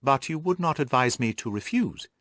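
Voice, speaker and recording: A man's voice: medium pitch, animated, moderate pace, fairly bright tone, dry recording, lightly acted. A man voicing an earnest, anxious young Victorian governess who tells her story articulately in an audiobook. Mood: resolved, inquiring.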